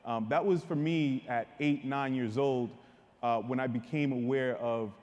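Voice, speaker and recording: A man's voice: medium pitch, a little slow, a little mellow, lo-fi sound. A man from the Dominican Republic speaking earnestly as a panelist at a live panel discussion. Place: large hall, live audience. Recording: reverberant hall.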